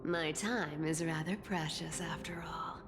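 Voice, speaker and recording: A sly, superior woman in a game drama. She drawls very slowly in a low voice, wry and aloof, over clear background music. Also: steady tone. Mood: amused, aloof. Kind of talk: dramatic video game voice acting.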